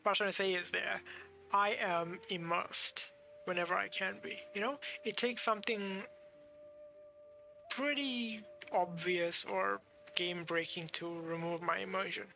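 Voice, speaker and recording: A game streamer giving casual, halting commentary, thinking aloud while playing. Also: mid-range voice, steady tone, vocal fry, bright, forceful tone, faint music behind, poor audio. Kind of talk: casual let's play commentary.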